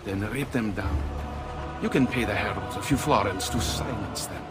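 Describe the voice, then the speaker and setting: An actor playing a shrewd, wary Italian leader of a thieves' guild in a dramatic game scene. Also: male voice, lowish voice, normal speed, loud music behind the voice, natural voice. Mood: sly advice. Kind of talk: dramatic video game acting.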